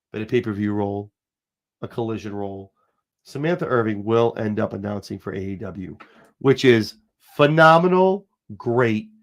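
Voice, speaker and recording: Rambling, lower-voiced man with a cold. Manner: rambling, emphatic, talks straight to viewers. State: has a cold, sniffling. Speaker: show host. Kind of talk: casual wrestling commentary livestream.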